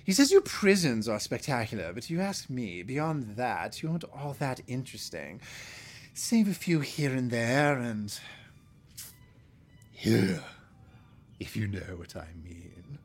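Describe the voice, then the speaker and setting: Vain, flirtatious alien prince, florid and pompous, animated pitch swings, slightly husky. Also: male voice, unhurried. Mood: haughty, airy. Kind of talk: audio fiction acting.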